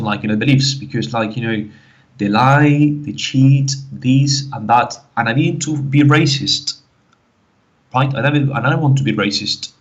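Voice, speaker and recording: A man's voice: mid-range voice, lo-fi sound. A man from the Canary Islands with a Spanish accent mixed with British English, calling in and telling his story in a rambling way, full of fillers. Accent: Spanish with British English mix. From Canary Islands, Spain. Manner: rambling, many fillers and restarts. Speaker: caller. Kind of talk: phoned-in personal story.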